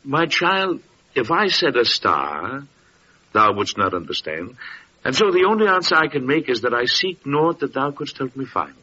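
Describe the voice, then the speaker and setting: An actor playing a courteous, weary Persian nobleman and seeker in a classic radio drama, earnest and solemn with archaic, sometimes halting speech. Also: mid-range voice, very animated, sharp tone, slightly rough, full character voice. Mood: tender, wistful. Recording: dull, noisy old radio recording.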